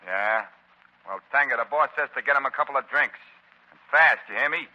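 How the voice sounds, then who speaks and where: An actor playing a bullying gangster henchman, obedient to his boss, talking rough slang in a 1930s radio drama. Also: mid-range voice, brisk, close to an everyday voice. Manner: rough slangy tough-guy talk. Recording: muffled 1930s radio recording.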